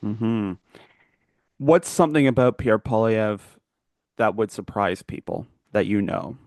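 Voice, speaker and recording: A man's voice: lowish voice, animated, unhurried, a little mellow, no room sound. A man hosting a casual political interview. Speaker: interview host.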